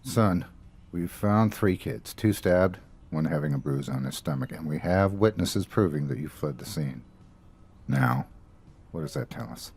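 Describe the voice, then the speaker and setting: An actor playing a stern, matter-of-fact, authoritative police officer in a horror audio drama. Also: male voice, low voice, expressive, a little slow, creaky, a little mellow, husky, full character voice. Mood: accusing.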